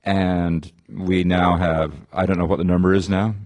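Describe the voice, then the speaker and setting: A man speaking as a panelist in a conference discussion, relaxed and conversational, with a few restarts. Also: low voice, moderate pace, dry recording. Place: conference venue.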